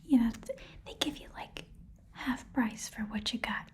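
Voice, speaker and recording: A woman voicing a shady, cheerful goblin pawn shop keeper in a breathy ASMR whisper, with casual, rambling sales patter. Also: a little high, moderate pace, close to an everyday voice. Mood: eager, salesy. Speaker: ASMR role-play performer. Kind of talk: whispered ASMR shopkeeper role-play.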